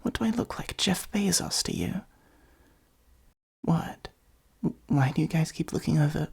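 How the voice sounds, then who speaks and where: A performer voicing a theatrical supervillain in an ASMR roleplay, with a soft breathy delivery, pompous and sardonic. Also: medium pitch, lively intonation, measured pace, exaggerated voice. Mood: exasperated, sardonic. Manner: pompous monologuing, sardonic exasperated asides. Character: theatrical gloating supervillain, secretly fond of the hero.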